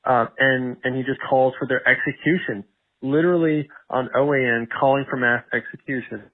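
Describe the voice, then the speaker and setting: Tinny voicemail, man, average pitch, casual emphatic retelling. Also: fairly even intonation, moderate pace. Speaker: voicemail caller.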